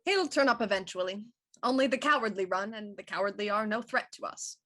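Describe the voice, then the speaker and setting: A woman playing a shrewd, self-assured Victorian police inspector, explaining deliberately and theatrically in a murder mystery audio drama. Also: mid-range voice, fairly bright tone, lightly acted. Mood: coolly explaining.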